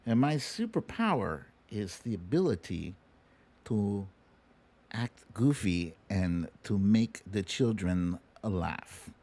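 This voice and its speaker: Slow-paced, animated man hosting a livestream. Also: fairly low pitch, a little hiss or hum. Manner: playful, informal, addresses viewers directly. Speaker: host of an online live show. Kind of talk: casual live-stream chat with viewers.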